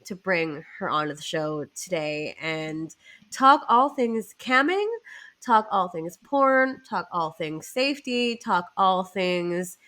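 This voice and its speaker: A woman's voice: on the low side, takes it slowly, bright, forceful tone. A woman hosting a podcast in a casual, bubbly, giggly way, her nose stuffed up from a cold. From Canada. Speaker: podcast host.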